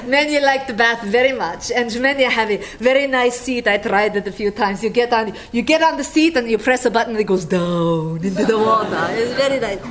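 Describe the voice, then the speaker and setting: A woman's voice: on the high side, a little fast, few pauses, a bit bright. A woman with an Icelandic accent telling rambling stories about a friend to a gathering, chuckling often as she talks. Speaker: speaker sharing memories of a friend. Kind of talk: casual storytelling to a gathering.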